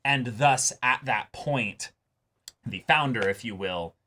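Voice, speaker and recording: A man co-hosting an anime reaction show, talking casually and excitedly, often repeating words as he thinks aloud. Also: mid-range voice, takes it slowly. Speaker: co-host reacting to an anime episode.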